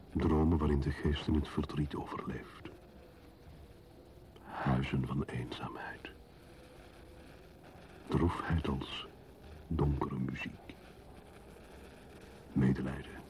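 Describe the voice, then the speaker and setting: An actor playing a brooding, lonely man in a poetic radio play monologue, solemn and halting, with breathy phrase endings. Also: extremely low pitch, a little mellow, a little hiss or hum. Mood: sorrowful. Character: brooding lonely man wandering rainy city streets.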